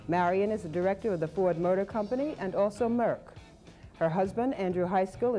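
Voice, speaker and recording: A woman with a lowish, very mellow voice reads scripted informational narration. She is measured and matter-of-fact, with faint music behind her.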